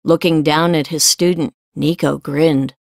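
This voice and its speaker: Lower-pitched woman narrating a novel. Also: fairly even intonation, measured pace, dry recording, studio quality. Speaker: audiobook narrator. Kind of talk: scripted fiction narration.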